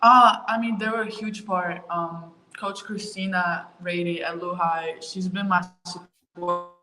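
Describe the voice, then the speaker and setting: A young woman from Ukraine answering interview questions about her move and her sport, talking in a relaxed way with lots of fillers. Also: lowish voice, unhurried, music in the background. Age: young adult. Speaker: college basketball player, interview guest. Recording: roomy with faint ambience.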